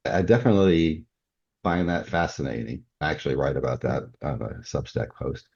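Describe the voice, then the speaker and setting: A man's voice: very deep voice, brisk, gravelly, darkish tone, husky. An older man talking casually as a guest in a podcast interview, often chuckling as he speaks. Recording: dull, muffled lo-fi audio.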